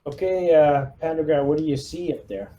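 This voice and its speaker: Bright-voiced man in a tabletop game. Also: a little high, normal speed. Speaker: player acting as squad leader. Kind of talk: casual tabletop game chat.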